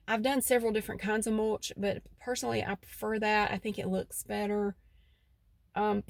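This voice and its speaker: A woman's voice: medium pitch, no echo. A middle-aged woman with a central North Carolina accent, rambling chattily in a folksy vlog, her nose a bit stuffy. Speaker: vlogger. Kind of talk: casual vlog chat. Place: outdoors by her house.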